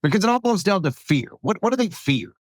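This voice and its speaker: A man's voice: sits high, big pitch swings, quick pace. A man hosting a casual interview, asking insistent questions and repeating his phrases. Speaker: podcast host, interviewer.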